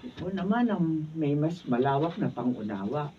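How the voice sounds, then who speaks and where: A woman playing a calm older mother who gives gentle advice in a Filipino radio drama. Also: deep voice. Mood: advising. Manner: gentle, unhurried advising. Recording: thin phone-like, distant, faint noise.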